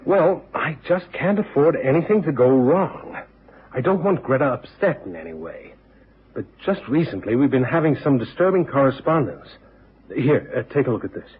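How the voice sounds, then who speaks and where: Anxious manager, polite, hesitant, slightly rough mid-range voice, old radio drama. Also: male voice, medium pitch, expressive, normal speed. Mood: worried, businesslike. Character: businesslike, anxious male concert manager. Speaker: supporting actor. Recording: tinny old radio recording.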